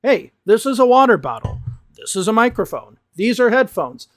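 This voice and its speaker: A man with a slightly high voice talks like a teacher, explaining with emphasis and lively punches on key words. His voice sounds dry and close, with no room sound around it. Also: normal speed, no room sound, faint music behind. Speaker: English teacher reacting to a video. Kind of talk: reaction commentary.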